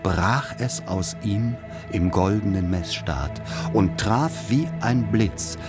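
Radio drama narrator: wry man recalling boyhood, very deep, unhurried, measured and solemn. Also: animated, over music. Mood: reflective, incantatory. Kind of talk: literary radio play narration.

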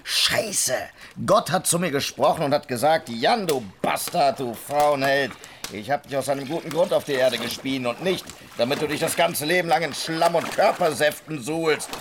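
A man's voice: on the high side, normal speed, close to an everyday voice. Guy playing a bragging lewd rogue in a radio play, theatrical storyteller, noisy behind him. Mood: mock-solemn. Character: boastful, lewd Dutch adventurer and brothel keeper. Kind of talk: radio drama acting.

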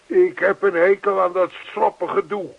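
An actor playing an earnest, lonely old widower in a radio play, talkative and old-fashioned, repeating himself. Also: male voice, sits high, little pitch movement, unhurried, creaky, narrow, tinny audio, exaggerated voice. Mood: warmly earnest. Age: older.

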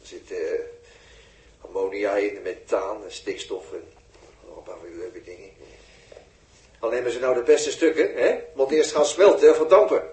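An actor playing a sarcastic, impatient spaceship technician in a 1960s radio drama, explaining things in a condescending, halting way. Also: male voice, fairly low pitch, dark tone, roomy sound, natural voice, poor audio. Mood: distracted. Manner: sardonic, condescending, halting while busy.

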